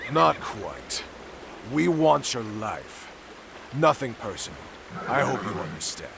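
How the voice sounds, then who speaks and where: An actor playing a callous, mocking assassin in a video game, with a gravelly voice. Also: male voice, medium pitch, very animated, slow, heavily acted. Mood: mocking, cold. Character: callous male assassin, cold, mocking and bitter. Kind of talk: dramatic video game acting. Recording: loud background noise, outdoor ambience.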